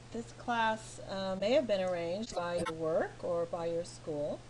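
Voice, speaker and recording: A middle-aged American woman from California, a teacher talking slowly and patiently through each step of filling in a form online. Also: mid-range voice, a little mellow, a little hiss or hum, rough recording. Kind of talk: screen walkthrough tutorial.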